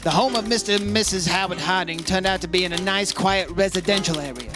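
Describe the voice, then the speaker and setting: A man playing a brisk, hard-boiled insurance investigator in an old-time radio detective drama, terse and matter-of-fact. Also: sits high, over music, close to an everyday voice. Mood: matter-of-fact narration.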